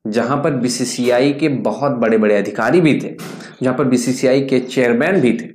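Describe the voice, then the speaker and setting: A man's voice: medium pitch, a little mellow. A man in his twenties giving opinionated cricket commentary to camera, emphatic and fond of rhetorical questions. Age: 20s. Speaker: cricket news YouTuber. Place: small indoor room. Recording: echoey room.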